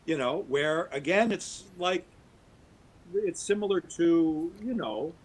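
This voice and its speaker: Husky, emphatic man on a soapbox. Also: lively intonation, takes it slowly, poor audio. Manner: emphatic, wide pitch swings, talks at length. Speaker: guest. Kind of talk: podcast interview, opinionated monologue.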